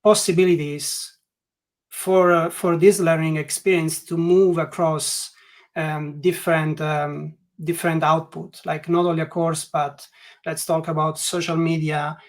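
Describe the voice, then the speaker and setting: A man's voice: on the high side, level tone, measured pace. A man from Italy with an Italian accent, speaking English as a second language as a guest in a webinar interview, often restarting his sentences and filling gaps with "like". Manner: hesitant, many restarts and "like" fillers. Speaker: guest learning experience designer.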